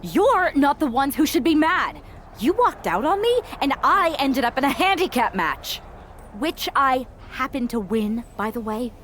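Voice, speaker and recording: A woman's voice: high voice, sing-song, measured pace, fairly bright tone, a little hiss or hum, heavily acted. A woman voicing an eager, overdramatic young wrestler who wants to be a witch, in a video game. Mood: defensive. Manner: perky, pleading, overdramatic. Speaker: voice actor in a video game cutscene. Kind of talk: animated video game acting.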